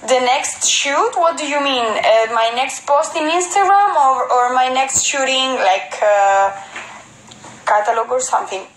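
Spanish-accented woman, 20s, reads out fan comments on a phone stream, slightly high voice, echoey room. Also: fairly bright tone, slight background noise. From Spain. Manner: switches between Spanish and halting English, answers viewers' comments. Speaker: model on a livestream. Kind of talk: casual livestream chat reading comments. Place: indoor room at home. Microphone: phone held at arm's length.

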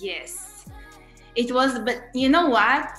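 A young woman from Israel, Hebrew her first language, speaking English with an Israeli accent, answering interview questions softly with many ums over a video call from her living room. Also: on the high side, expressive, slow, roomy sound, faint music behind. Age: young adult. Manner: soft-spoken, with frequent ums and laughs. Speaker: guest singer.

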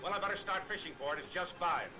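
An eager male police sidekick acted by a man in a 1940s film serial. His voice is high and his talk colloquial, with music clearly behind on the old soundtrack. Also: fast talker, natural voice, rough recording.

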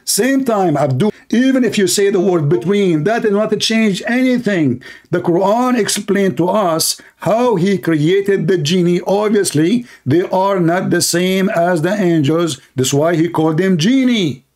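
A man's voice: high-pitched, unhurried, hardly any pauses, a little mellow. A man hosting an online debate, combative and mocking, repeating his demands. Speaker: debate host.

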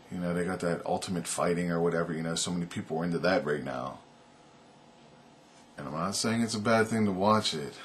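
A man in his thirties talks straight into a webcam from a couch in a small room, his low, loud voice rambling earnestly and dotted with "man" and "you know". A faint hiss of room noise sits under the homemade webcam sound. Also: vocal fry. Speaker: vlogger. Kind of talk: casual opinion vlog to camera.

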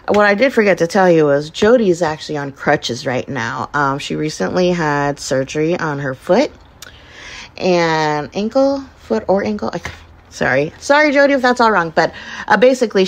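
A woman's voice: on the low side, expressive, moderate pace. Chatty woman telling a casual story. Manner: chatty, acts out quoted remarks. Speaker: podcast host. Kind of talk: casual first-person storytelling.

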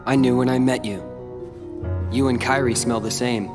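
An actor playing a calm, determined teenage boy hero in a video game, with a dry, brooding way of talking. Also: medium pitch, moderate pace, natural voice. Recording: game audio with reverb and loud music.

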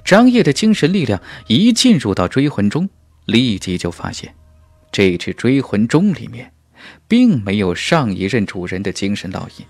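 Animated low-voiced male audiobook narrator. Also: normal speed, no echo, soft background music. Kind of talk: scripted dramatic fantasy novel narration.

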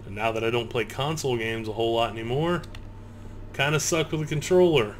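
A man's voice: medium pitch, a little fast. A thirty-year-old man giving casual gameplay commentary, swearing, griping and poking fun at himself. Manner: sweary, griping, self-deprecating. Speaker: gamer, video host. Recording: clear game ambience noise behind. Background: horror video game sound.

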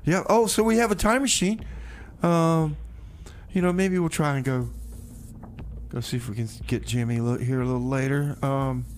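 A man's voice: medium pitch, steady tone, moderate pace, a little hiss or hum. A man hosting a community radio music show, back-announcing songs in a rambling, wry way. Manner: rambling, self-interrupting, wry. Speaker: radio DJ and host. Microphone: studio microphone.

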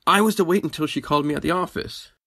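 An actor playing a chatty, anxious husband in an old-time radio mystery, halting when pressed. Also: male voice, a little high, pushed and bright, no room sound, clean studio sound. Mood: nervous, defensive. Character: anxious, chatty husband under suspicion in a murder.

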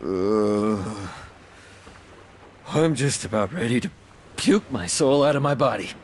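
A man voicing an excitable, goofy young vacationer in an anime mobile game, with exaggerated comic delivery. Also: mid-range voice, slow pace, slight background noise. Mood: weary, queasy. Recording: music behind the voice.

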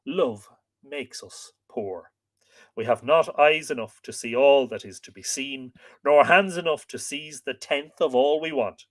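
A man's voice: medium pitch, unhurried, close to an everyday voice. A man voicing a lovesick, impatient warrior chief from a storybook, fervent and dramatic. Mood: tormented yearning.

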